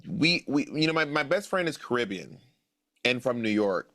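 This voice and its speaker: A man's voice: medium pitch, sing-song, moderate pace, sharp tone. An American man in his late thirties, an author answering in an interview with an earnest, musing manner and repeated phrases for emphasis. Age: 30s. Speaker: author guest.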